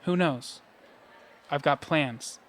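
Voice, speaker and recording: A man's voice: a little high, slow, a bit bright. A young man playing a troubled, lonely, sardonic teenage boy in an audio play, with a dry, morbid way of talking. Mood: sullen, taunting.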